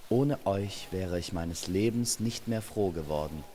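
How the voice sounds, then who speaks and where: A man's voice: sits low, a little slow, dark tone, long reverb tail, slight background noise. A man voicing a humbled winged male love spirit, sincere and grateful, in a storybook audio drama. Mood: grateful, relieved.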